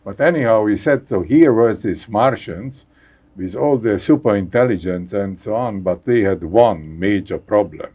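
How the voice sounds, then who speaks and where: An older man from Hungary with a Hungarian accent, speaking haltingly as a guest in a conversational interview, repeating words and chuckling wryly. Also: on the low side, warm tone, slightly rough. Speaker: guest scientist.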